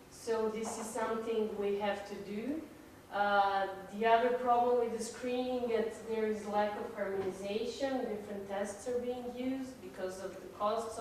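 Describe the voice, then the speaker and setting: A woman's voice: mid-range voice, a little mellow, slight background noise. A woman with a Serbian accent giving a conference presentation, walking through her slides with frequent "uh"s. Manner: explaining slides with frequent fillers. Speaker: patient advocacy speaker. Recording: echoey hall from far off.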